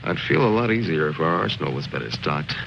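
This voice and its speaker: Self-assured lieutenant in old war movie, wry, swingy intonation, muffled track w heavy noise. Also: male voice, a bass voice, animated, fast talker, gravelly, exaggerated voice. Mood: wry, confident. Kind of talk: old war film dialogue acting. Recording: muffled old film soundtrack, very noisy.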